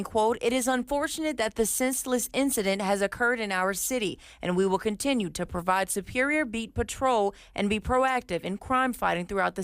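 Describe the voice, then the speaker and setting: A woman working as a television news reporter, reading scripted narration for a field report. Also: mid-range voice.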